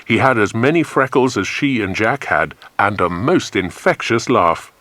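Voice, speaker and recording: Deep, animated male storyteller. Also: moderate pace, no echo. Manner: expressive storytelling. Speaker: audiobook narrator. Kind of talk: scripted children's story narration.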